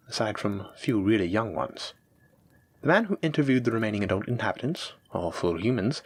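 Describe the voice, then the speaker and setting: A man's voice: mid-range voice, darkish tone, breathy edge. A man playing a kind, bookish dragon-blooded librarian in an intimate roleplay audio drama, explaining things in an earnest, reassuring way.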